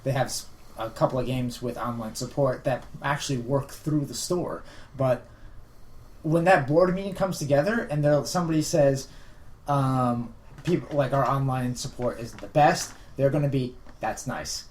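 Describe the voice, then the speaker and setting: A man's voice: mid-range voice, normal speed, darkish tone. A man in a casual podcast panel discussion, making his points emphatically. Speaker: podcast host. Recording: faint room noise.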